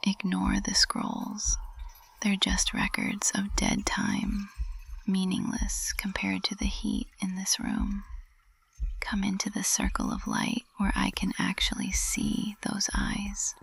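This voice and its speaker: A woman playing a composed witch professor unraveling with love in an intimate ASMR roleplay, whispering in a breathy, husky, halting and tender way. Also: mid-range voice, monotone, exaggerated voice. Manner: slow, halting, tender, flustered.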